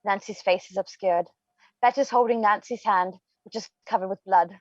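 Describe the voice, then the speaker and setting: A woman's voice: medium pitch, moderate pace, no echo, lo-fi sound. A woman narrating scripted stage directions for a play reading.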